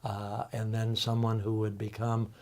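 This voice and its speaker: Older man panel guest, mellow tone, reflective and halting, lots of ums. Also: lowish voice, monotone. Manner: thoughtful, halting, many ums and uhs. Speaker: panel guest, college faculty member.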